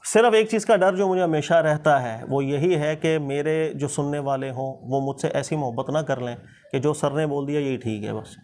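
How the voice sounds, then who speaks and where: A man's voice: a little high, normal speed, a little mellow. A man with a Pakistani accent, a religious teacher explaining points emphatically in informal discussion, often repeating himself for stress. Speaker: Islamic scholar and teacher.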